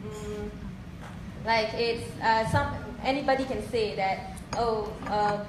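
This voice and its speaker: A woman's voice: medium pitch, takes it slowly. A nervous woman giving an informal personal message as a fan speaking at an event. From Singapore or Malaysia. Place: press conference room, on microphone. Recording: echoey room with background noise.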